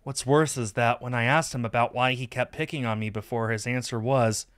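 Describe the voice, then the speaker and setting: Male streamer narrating a visual novel: mid-range, clean and resonant, expressive first-person storytelling. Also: mid-range voice, brisk, clean tone.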